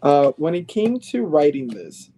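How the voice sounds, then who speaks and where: A man's voice: fairly high pitch, big pitch swings, unhurried, dark tone, slight background noise. A man in his twenties hosting a podcast interview, chatty and casual, often restarting his phrases with lots of fillers. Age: 20s. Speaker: podcast host.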